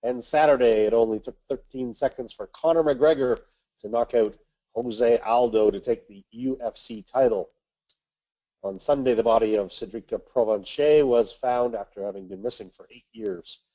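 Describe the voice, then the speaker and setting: A man with a nasal voice from a cold, hosting a weekly investment webinar and reading market commentary in a measured way with the odd filler. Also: mid-range voice, dark tone, lo-fi sound. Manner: measured, reads from notes with filler uhs. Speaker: webinar host, investment manager.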